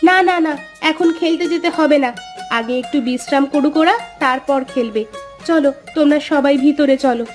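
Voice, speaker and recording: A woman's voice: high-pitched, moderate pace, soft background music, lightly acted. A woman voicing an outspoken, fussing village wife in a cartoon moral story.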